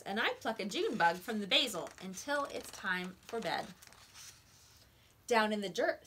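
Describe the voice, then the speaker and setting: A woman reading picture books aloud to young children like a teacher, with expressive emphasis on the words. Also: mid-range voice, slight background noise.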